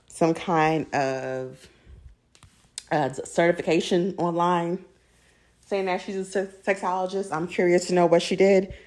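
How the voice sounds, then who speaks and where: A woman's voice: fairly low pitch, darkish tone. A woman from the United States hosting a TV recap, chatting casually and candidly to viewers with strong opinions. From United States, raised in a Jamaican household. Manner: candid, opinionated, laughs easily while talking. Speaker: TV recap show host.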